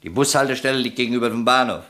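An actor playing a skeptical, needling police detective in a crime radio play, brisk and dismissive as he questions. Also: mid-range voice, bright, forceful tone, natural voice. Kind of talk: vintage German crime radio play acting.

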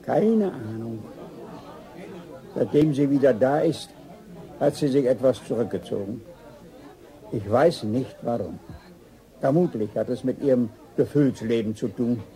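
A man's voice: medium pitch, a little slow, long pauses, warm tone, a faint crowd, close to an everyday voice, poor audio. An actor playing a dry, amused middle-aged father in a radio drama, given to casual philosophizing. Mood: amused, resigned.

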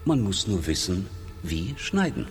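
Blunt surgeon, deep voice, echoing hall. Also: male voice, very animated, a little slow, over loud music. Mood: wry. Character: blunt, hardened male surgeon, wry and detached. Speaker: surgeon and hospital doctor. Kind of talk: radio drama acting.

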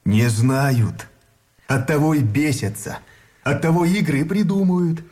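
An actor in a Russian radio drama playing a plain-spoken, grumbling captured soldier with a blunt, folksy manner. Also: male voice, fairly high pitch, a little slow, darkish tone, close to an everyday voice. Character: plain-spoken, grumbling rank-and-file soldier held prisoner.

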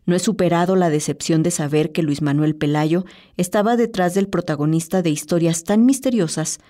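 A woman's voice: lowish voice, moderate pace, warm tone. A woman narrating a scripted personal essay as a radio presenter. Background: music.

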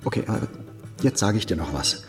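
A performer playing a self-righteous, prying neighbourhood busybody in a radio drama, pedantic and indignant. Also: female voice, very deep voice, expressive, a little fast, over music. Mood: insistent. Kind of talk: naturalistic radio drama acting.

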